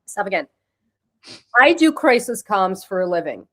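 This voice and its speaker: A woman co-hosts a casual podcast and talks with emphatic, sarcastic energy, pushing her points hard and mocking freely. Her voice sits at an ordinary mid pitch. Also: big pitch swings, bright, forceful tone, slight background noise. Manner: emphatic, sarcastic, quick to mock. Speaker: podcast co-host. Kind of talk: casual chat.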